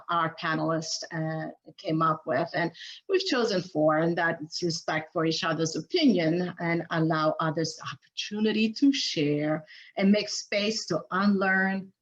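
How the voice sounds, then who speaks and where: A woman's voice: fairly low pitch, fairly bright tone, highs cut off. A woman from Ethiopia, a native Amharic speaker, moderating a panel over a video call, with frequent fillers and restarts. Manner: halting, many fillers and restarts.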